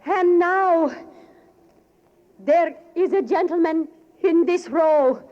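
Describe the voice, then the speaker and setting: Stage mind reader, high voice, very slow, mysterious, portentous, old muffled radio drama. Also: female voice. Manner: portentous, drawn-out delivery. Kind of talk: 1940s radio acting. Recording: dull 1940s radio recording.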